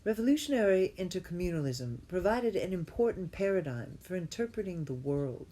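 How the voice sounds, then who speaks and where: Halting woman reading aloud, lowish voice. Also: very animated, warm tone, low-quality recording. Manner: halting, often repeats phrases to correct herself. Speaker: reader. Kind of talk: amateur reading aloud of an academic text.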